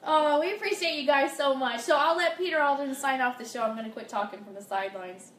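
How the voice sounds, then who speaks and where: A woman's voice: high voice, quick pace, a bit bright. A woman co-hosting a casual livestream from the sidelines, enthusiastic and gushing. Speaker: off-camera co-host. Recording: roomy, noisy, off to the side.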